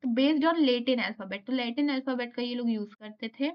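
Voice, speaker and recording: Indian woman teaching in a dull recording. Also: on the high side. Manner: explains, reads English notes slowly, then repeats them. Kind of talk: exam lecture, reading notes aloud and explaining.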